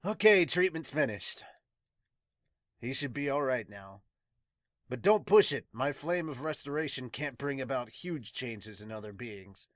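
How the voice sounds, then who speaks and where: A podcaster voicing a calm male pirate healer in an amateur manga dub, measured and reassuring. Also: mid-range voice, dry recording, clean studio sound, lightly acted.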